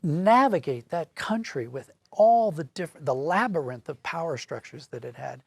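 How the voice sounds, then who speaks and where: A man's voice: a little high, expressive, moderate pace, creaky, darkish tone, slightly rough, no room sound. A man giving thoughtful, explanatory answers as a conversational interview guest. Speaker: interview guest, audio drama writer.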